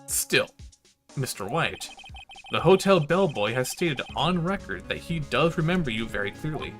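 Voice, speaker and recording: A man's voice: a little high, animated, moderate pace, a bit bright, soft background music. A man voicing an earnest young defense attorney in a visual novel, direct and questioning. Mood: probing. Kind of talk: visual novel dialogue read aloud.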